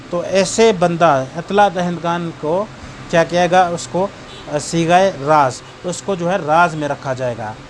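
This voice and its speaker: A man with a Pakistani accent lecturing for exam students, reading out statutes haltingly and explaining them with everyday examples. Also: sits high, slight background noise. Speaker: law lecturer.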